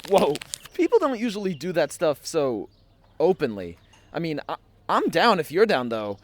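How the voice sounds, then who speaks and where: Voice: mid-range voice, sing-song, normal speed, dry recording, lightly acted. A performer playing a laid-back young man, a dramatic, flippant sidekick in an audio fiction podcast, slangy and sarcastic. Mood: amused.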